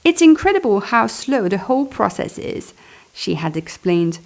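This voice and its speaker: A woman's voice: medium pitch, very animated, normal speed, a little mellow, poor audio. A woman reads her own memoir aloud, expressively, adding chatty, self-deprecating asides. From Belgium. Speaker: author reading and commenting on own memoir.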